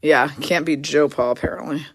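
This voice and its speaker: A hoarse woman hosting a card break, casually rattling off player names and teams as she opens packs. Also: low-pitched, fairly even intonation, normal speed, no echo. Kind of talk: casual card-opening commentary.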